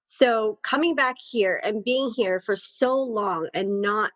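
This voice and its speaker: A woman's voice: mid-range voice, slow pace, a bit bright, no room sound, highs cut off. A woman in her thirties from Thailand, chatting as a podcast guest, laughing often and correcting herself as she goes. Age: 30s. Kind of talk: casual interview chat.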